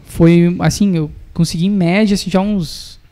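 Young guy from southern brazil w a gaúcho accent, highish creaky voice, casual podcast chat. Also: fairly even intonation, moderate pace, warm tone. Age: young adult. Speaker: podcast guest, musician and music teacher. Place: small indoor podcast studio. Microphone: close studio mic on a boom arm.

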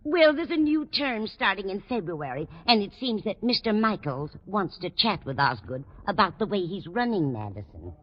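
A woman's voice: mid-range voice, very animated, normal speed, hardly any pauses. An actress playing a homespun, slightly dotty landlady who rambles earnestly in an old-time radio sitcom. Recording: dull 1940s radio recording.

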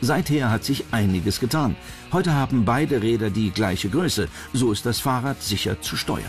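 A man's voice: medium pitch, animated, moderate pace. Male narrator for scripted documentary, mid-range voice, music underneath.

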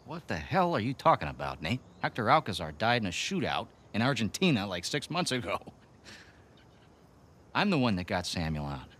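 Smug, mocking low-voiced American villain. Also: male voice, huge pitch swings, moderate pace, a bit bright, slight background noise. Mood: taunting, gleeful. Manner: taunting, theatrical, sarcastic. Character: smug, mocking male villain, rich treasure hunter. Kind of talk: video game cutscene acting.

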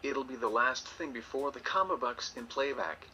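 A synthetic male text-to-speech voice reading out screen text with even enunciation, played through a computer speaker. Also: medium pitch, moderate pace, lo-fi sound. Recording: computer speaker captured with background noise.

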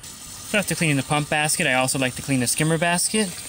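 A man's voice: fairly high pitch, fast talker, bright, forceful tone, a little hiss or hum. A pool service technician talking through his weekly routine in a plain, matter-of-fact instructional voice-over.

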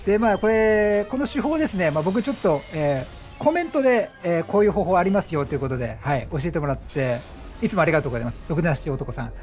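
A male gaming commentator with a high-pitched voice that swings widely as he talks. He explains his play in a chatty, excitable way. Faint music plays behind him. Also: fast. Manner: chatty, animated, exclaims at the action. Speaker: gaming streamer commentating gameplay.

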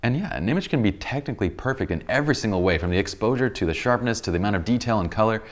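Man, 30s, very low deep voice, photography instructor, enthusiastic, studio sofa set. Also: expressive, very fast. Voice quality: deep voice, a bit tired from a long day. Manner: enthusiastic, explains with many examples and restarts. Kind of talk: casual studio tutorial talk.

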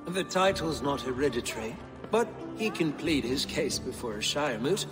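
An actor as a pompous Saxon bishop, reverberant. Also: male voice, medium pitch, expressive, music in the background, natural voice. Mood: formal, persuasive. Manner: formal, measured, pompous. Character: pompous, pious middle-aged Saxon bishop, smoothly political. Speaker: actor in a video game cutscene. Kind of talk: video game drama acting.

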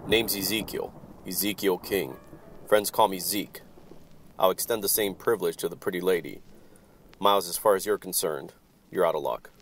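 A man playing a gruff, wry, world-weary supernatural private detective, narrating in old-time noir radio style. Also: deep voice, normal speed, pauses often, slight background noise. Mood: cocky. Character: hard-boiled, wisecracking male supernatural private detective.

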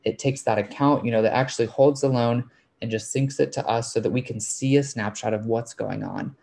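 Creaky-voiced man presenting a webinar. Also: mid-range voice, a little fast, a little mellow. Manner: explains step by step. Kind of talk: informal explanatory webinar presentation. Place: online video call webinar.

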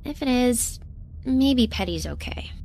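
A woman playing an earnest, excitable young intern who talks casually and emphatically in an audio drama. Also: a little high, a little slow, slight background noise. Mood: tentative. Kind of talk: naturalistic audio drama acting.

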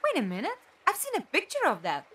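A woman's voice: high-pitched, huge pitch swings, fast talker, fairly bright tone, full character voice. A woman acting a composed, logical amnesiac captive in a fan-made audio drama, with dry, formal wording. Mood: questioning.

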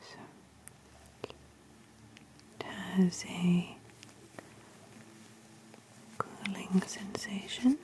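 A woman in her thirties speaking in a gentle, hushed, breathy ASMR whisper, as an examiner giving personal attention. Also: mid-range voice, drawn-out words, long pauses, rough recording. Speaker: ASMR roleplay performer as ear examiner. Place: small indoor room with fabric backdrop.

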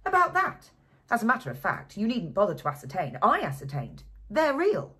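A woman's voice: medium pitch, wildly swooping pitch, moderate pace, fairly bright tone, husky, voice pushed up, heavily acted. A woman voicing a stout, drunk, middle-aged man who talks in an eager, insistent, blustery way. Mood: excited.

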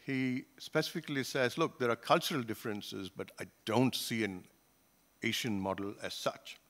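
A man in his sixties from Singapore, speaking at a conference panel table into a gooseneck mic, measured, with frequent fillers and restarts. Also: mid-range voice, a bit bright. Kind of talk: academic panel talk.

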